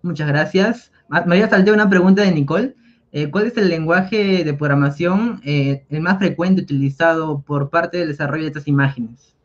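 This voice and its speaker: A man moderating an online session reads out audience questions, polite and a little halting. His voice is slightly high, and the sound is dull and lo-fi. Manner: polite, reading questions with hesitations.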